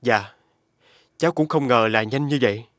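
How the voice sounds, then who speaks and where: An actor playing a polite, poetic, homesick young scholar in an animated fairy tale. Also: male voice, medium pitch, moderate pace, no room sound, clean studio sound, natural voice. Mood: reflective. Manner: polite, formal.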